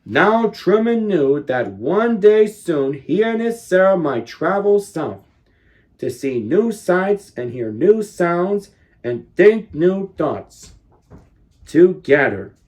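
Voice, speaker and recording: A man in his thirties reads a children's storybook aloud at an unhurried pace. His pitch swings widely as he stresses key words for drama, in a deliberate, expressive storytelling style. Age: 30s. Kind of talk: expressive picture book read-aloud.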